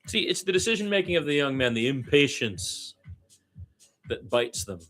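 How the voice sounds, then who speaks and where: Forceful, bright-voiced male basketball play-by-play announcer. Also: moderate pace. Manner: blunt, opinionated, repeats names and phrases for emphasis; animated, swinging from low asides to loud high calls. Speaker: radio play-by-play announcer for the home team. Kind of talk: excited partisan basketball play-by-play commentary.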